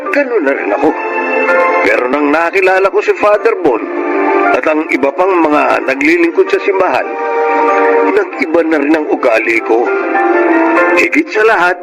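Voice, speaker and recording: A man's voice: a little high, expressive, normal speed, long pauses, low-quality recording. Ex street tough turned devout, telling his life story radio drama style, heavy music behind. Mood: reflective, grateful. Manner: reflective, earnest. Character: reformed former street tough, now humble and devout. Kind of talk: dramatic first-person radio drama narration.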